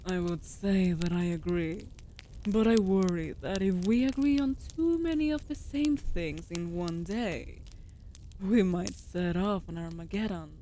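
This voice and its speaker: A woman voicing a female wolf familiar in a fantasy comfort audio drama, coaxing softly with dry, teasing banter. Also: on the low side, a little slow, slight background noise, close to an everyday voice, rough recording. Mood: drowsy, tender. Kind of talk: scripted comfort audio roleplay.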